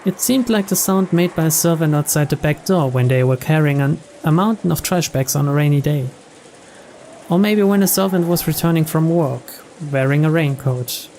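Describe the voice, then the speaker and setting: Guy reading visual novel narration out loud, bit high voice, noisy ambient background. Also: normal speed. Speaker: game playthrough narrator. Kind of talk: scripted narration read aloud.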